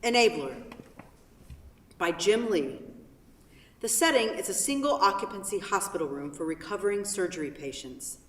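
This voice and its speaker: A woman's voice: medium pitch, expressive, normal speed, long pauses, a bit bright. A woman reading stage directions as scripted narration for a play. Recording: very echoey hall from far off.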